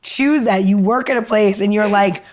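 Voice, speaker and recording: A woman chats as a podcast co-host. Her voice sits at a middle pitch, and her informal delivery is halting, with repeated words and restarts. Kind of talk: casual conversational chat.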